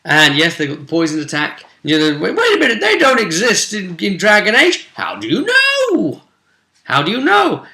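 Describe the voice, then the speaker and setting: A man casually rambling through a recap of a tabletop role-play session as its game master, jokey and animated, often interrupting himself. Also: sits high, moderate pace. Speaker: game master and vidcast host. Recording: echoey, roomy sound.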